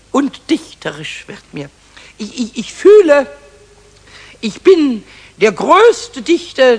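A man playing a cheeky, tipsy little kobold in a 1970s children's radio play, childlike, boastful and excitable, stammering and breaking into sing-song rhyme. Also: very high voice, slightly rough, exaggerated voice.

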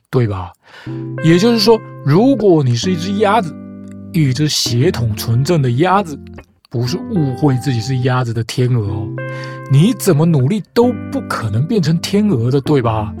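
Woman, scripted storyteller, lecturing tone, low voice, music playing behind. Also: big pitch swings, moderate pace. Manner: lecturing, argumentative, tags points with rhetorical questions. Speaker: storyteller host. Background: background music.

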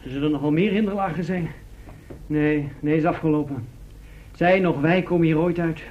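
An actor in a Dutch radio play playing a sour, pedantic pessimist. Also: male voice, fairly high pitch, moderate pace, natural voice. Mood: defeatist. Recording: dull, noisy old radio recording.

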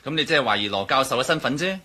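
An actor playing a loyal housekeeper in a radio drama, speaking with a Hong Kong accent. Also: male voice, medium pitch, expressive, very fast, pushed and bright. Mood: defensive. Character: loyal male housekeeper to a professor. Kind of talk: Cantonese radio drama acting.

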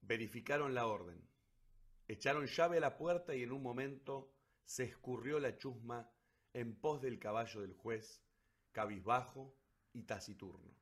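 A man narrating a literary story for radio theatre in a solemn, deliberate, dramatic way. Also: mid-range voice.

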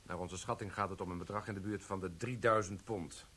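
An actor playing a cool, probing military police sergeant in a radio play, questioning in a dry, clipped way. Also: male voice, deep voice, fairly even intonation, a little fast, bright, forceful tone, breathy edge, full character voice.

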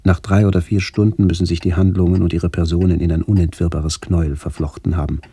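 An actor playing a reflective middle-aged man narrating a literary radio play in a measured, contemplative way. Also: low voice, little pitch movement, warm tone, husky, no echo, clean studio sound. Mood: pensive. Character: reflective man visiting his ageing family.

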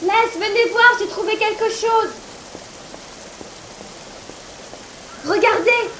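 A woman playing a sharp-tongued young female sidekick in a French science-fiction audio drama, quick and sarcastic. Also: very high-pitched, slight background noise, low-quality recording. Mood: urgent.

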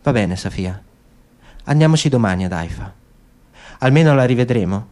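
A man's voice: mid-range voice, lively intonation, normal speed, darkish tone, lightly acted. A man playing a grieving, bitter husband and father who feels humiliated, in a radio play. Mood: resigned.

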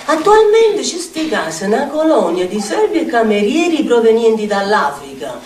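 An actress playing an eccentric, earthy Neapolitan storyteller in a declamatory theatrical monologue, with a strong Neapolitan accent. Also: female voice, on the high side, big pitch swings, moderate pace, a little mellow. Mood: scandalized. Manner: declamatory, dramatic, sing-song repetitions. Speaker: stage actress. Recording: echoey theatre stage, distant mic, faint noise.

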